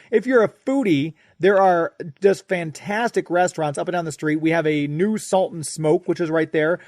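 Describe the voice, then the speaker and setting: An American man hosts a radio show in a chatty, informal style. His voice sits a little high, and the sound is dry and close, with no room to it. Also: a little mellow, dry recording. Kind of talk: conversational ad read and interview.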